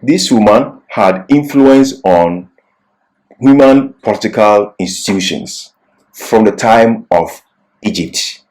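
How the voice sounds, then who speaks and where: A man giving an expository Bible sermon and reading scripture in an emphatic, deliberate, didactic manner. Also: on the low side, animated, darkish tone, low-quality recording. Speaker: Bible teacher.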